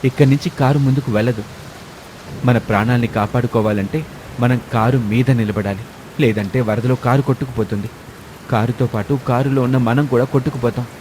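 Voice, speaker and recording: A man's voice: mid-range voice, fairly even intonation, a little fast, darkish tone, close to an everyday voice. Man playing blunt young husband, noisy rain behind. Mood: grim, firm. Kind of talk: Telugu moral story dialogue. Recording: clear rain and engine noise behind.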